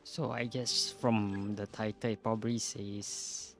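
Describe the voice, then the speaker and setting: A man's voice: lowish voice, flat delivery, takes it slowly. A man giving casual gameplay commentary as a streamer. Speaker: game streamer. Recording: game music behind the voice.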